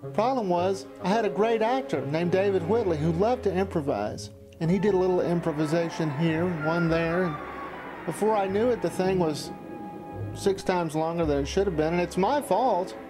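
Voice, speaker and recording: A man's voice: sits high, normal speed. A middle-aged man telling stories of his amateur filmmaking in a conversational, candid and self-deprecating way. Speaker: amateur filmmaker recalling his past productions. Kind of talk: documentary reminiscence, conversational narration. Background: background music.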